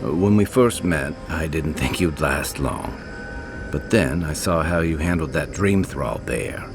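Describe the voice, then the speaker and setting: A man voicing a loyal, weathered seafaring soldier in a video game, sincere and measured. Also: rumbling low, expressive, over music, exaggerated voice. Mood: admiring, reflective.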